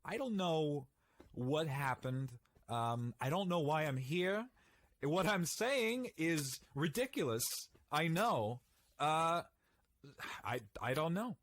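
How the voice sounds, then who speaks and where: A man voicing a confused, hesitant fellow who rambles haltingly in a comedy bit. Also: a little high, big pitch swings, fairly bright tone, pitched above the performer's own voice, exaggerated voice. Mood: bewildered.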